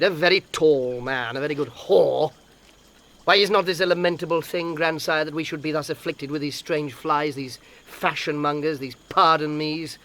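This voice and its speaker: A high-pitched, mocking young gentleman. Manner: theatrical, playful, flamboyant wordplay. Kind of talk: Shakespearean audio drama acting.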